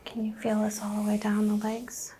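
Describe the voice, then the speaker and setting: A woman whispering gently as a doctor in an ASMR medical exam roleplay, asking soft questions. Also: medium pitch, monotone, normal speed, a little hiss or hum.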